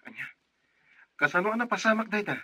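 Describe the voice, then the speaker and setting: An actor playing an attentive sweetheart calling from abroad in an Ilocano radio drama. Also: male voice, high-pitched, animated, fairly quick, bright, forceful tone, slightly rough, dry recording, full character voice. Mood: eager.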